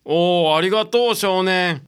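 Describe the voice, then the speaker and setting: Man acting a bright, brash Kansai part-timer. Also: high voice, a little slow, clean tone. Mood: casual. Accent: Kansai Japanese. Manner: blunt, complaining, casual. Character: brash, grumbling young male part-time sushi worker. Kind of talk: community radio drama acting.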